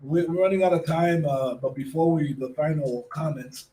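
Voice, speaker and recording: A man's voice: a little high, moderate pace, mellow, low-quality recording. A man with a Puerto Rican accent hosting a radio talk show, chatting loosely, repeating words and slipping into Spanish. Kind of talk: casual interview chat.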